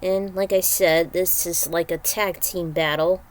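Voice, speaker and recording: A woman's voice: on the low side, fairly even intonation, unhurried. A woman casually reading a story aloud, adding offhand asides and skimming over bits. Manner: offhand, skims with filler syllables. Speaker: fan fiction reader.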